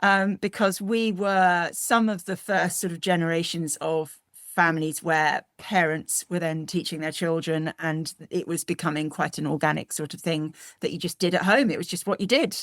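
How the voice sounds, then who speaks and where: A middle-aged woman, a guest telling stories in a lively, animated way in an interview. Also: lowish voice, normal speed, dry recording, studio quality. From Cornwall. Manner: lively, frequent laughs, animated storytelling. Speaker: guest, Cornish translator. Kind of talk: conversational interview.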